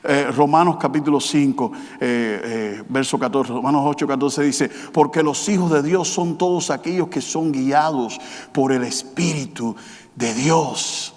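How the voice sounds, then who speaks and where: A man preaching a sermon as pastor, with emphatic repetition, rising to loud passages and dropping to quiet, slow ones. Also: mid-range voice. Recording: long hall reverb.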